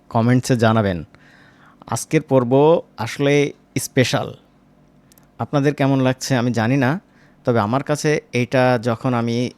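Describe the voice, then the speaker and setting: A man teaches software in a casual, informal way, speaking directly to viewers as a tutor. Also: mid-range voice, no echo. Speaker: animation tutor.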